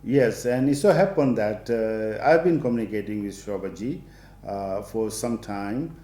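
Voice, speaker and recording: A man's voice: lowish voice, a little slow, mellow, roomy sound. A man hosting a TV interview show, speaking hesitantly with many fillers. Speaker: TV show host.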